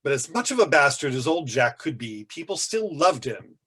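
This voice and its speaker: A flamboyant, catty older man as a male author reads him aloud, holding court in a camp, theatrical way. The voice is husky and sits on the high side, with grand, drawn-out emphasis on choice words. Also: sing-song, fairly bright tone, exaggerated voice. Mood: gossipy, mocking.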